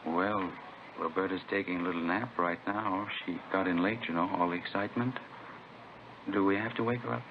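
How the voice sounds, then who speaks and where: Through a tinny, hissy old radio recording comes a trusting new father. His voice is fairly low, and he talks in simple, friendly phrases, proud and eager to oblige. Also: fairly even intonation, fairly quick. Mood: hushed, obliging. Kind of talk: 1950s radio drama acting.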